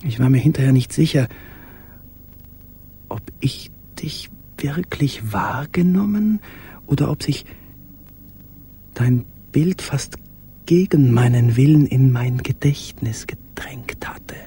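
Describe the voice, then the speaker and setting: An actor playing a confined, brooding, tender man who recites letters in a radio drama, hesitant and dreamy, with a breathy half-whisper. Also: mid-range voice, lively intonation, takes it slowly, pauses often, warm tone, a little hiss or hum. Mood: dreamy, hushed.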